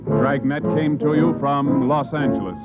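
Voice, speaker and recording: Male announcer, formal and crisp, lo-fi vintage radio, loud music under. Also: a little high, measured pace. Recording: dull old radio broadcast with loud music.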